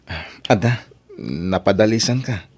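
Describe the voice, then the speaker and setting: A man's voice: on the low side, a little slow, a little mellow, husky. A man acting as a guilt-ridden, generous young man in an Ilocano radio drama, solicitous and insistent, with an Ilocano accent. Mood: guilty, concerned.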